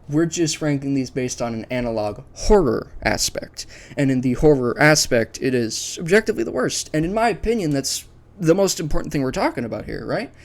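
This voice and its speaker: A man hosting a ranking video in a casual, unscripted way, thinking aloud conversationally and sharing his opinions. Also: on the high side. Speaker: video host ranking a series list.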